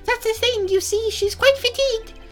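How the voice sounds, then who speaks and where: A man's voice: very high voice, moderate pace, faint music behind, pitched above the performer's own voice. A man voicing a meek, flustered male prosecutor in a video game, stammering and deferential. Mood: anxious, deferential.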